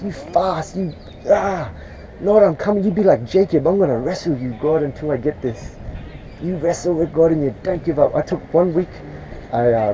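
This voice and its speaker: A man preaching scripture outdoors to a passer-by, earnest and quick to pray in tongues, recorded on a chest-worn camera. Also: medium pitch, warm tone, low-quality recording. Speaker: street preacher. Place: outdoors on a street beside a shopping centre car park. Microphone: chest-worn action camera.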